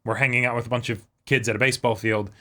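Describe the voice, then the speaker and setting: A man's voice: medium pitch, steady tone, very fast, bright, forceful tone. A man co-hosting a reaction show, chatting casually, agreeing and adding comments.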